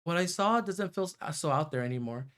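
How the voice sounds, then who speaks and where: A man's voice: a little high, animated, fast talker, a bit bright. A man streaming a visual novel, reading its narration aloud and adding casual asides.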